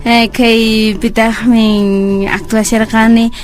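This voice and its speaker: A hesitant woman introduces radio actors. Her voice is high, with clear music playing behind. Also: level tone, slow pace. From Peru. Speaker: radio drama actress. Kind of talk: introducing cast members.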